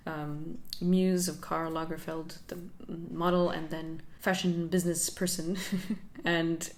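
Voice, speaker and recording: A young woman talks through her learning journey for her channel. She is soft-spoken and reflective, with a slightly low voice, and she laughs lightly as she goes. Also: flat delivery, takes it slowly. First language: Finnish. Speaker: channel host. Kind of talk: casual talking-head vlog.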